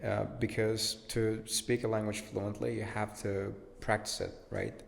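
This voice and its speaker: Low gravelly young man, matter-of-fact. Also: flat delivery, measured pace, in a large hall. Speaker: podcast guest, English teacher. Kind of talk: casual interview conversation.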